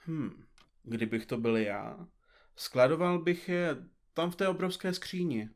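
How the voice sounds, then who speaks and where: A man's voice: on the high side, lightly acted. An actor playing a playful, wry male detective sidekick in an audio drama, with a theatrical way of talking. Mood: teasing.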